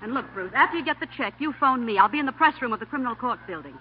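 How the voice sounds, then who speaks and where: An actress playing a brash, wisecracking woman star reporter, brisk and bossy, in 1940s radio acting style. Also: fairly high pitch, animated, natural voice. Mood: brisk, wary. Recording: muffled hissy 1940 radio broadcast.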